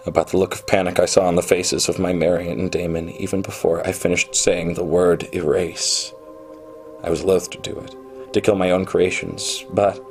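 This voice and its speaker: A man's voice: deep voice, creaky. An actor playing a proud, obsessive male programmer genius in a radio play, with a brooding, self-important manner. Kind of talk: radio play acting with confessional narration.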